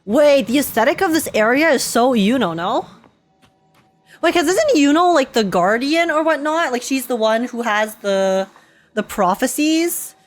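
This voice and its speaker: A young woman giving casual, excitable game reaction commentary, giggly and thinking aloud as she goes. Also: high voice, unhurried. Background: faint game music.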